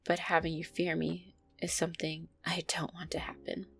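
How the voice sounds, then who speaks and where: A woman playing a gentle, anxious, affectionate vampire girlfriend in an intimate roleplay audio, speaking softly, tenderly and hesitantly. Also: low-pitched, monotone, normal speed, vocal fry. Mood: affectionate, nervous. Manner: soft, tender, hesitant, sighing delivery.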